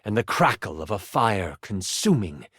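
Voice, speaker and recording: A man voicing an excitable twenty-sided die in a video game, narrating a fantasy tabletop adventure as a dramatic, expressive game master. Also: on the low side, normal speed, husky, no room sound, clean studio sound, exaggerated voice. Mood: dramatic, suspenseful. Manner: theatrical, expressive storytelling with sighs and asides.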